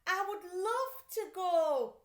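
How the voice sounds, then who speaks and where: Woman voicing an eager, high-pitched young storybook boy. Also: lively intonation, slow pace, echoey room, exaggerated voice. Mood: delighted. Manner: exclaiming, enthusiastic.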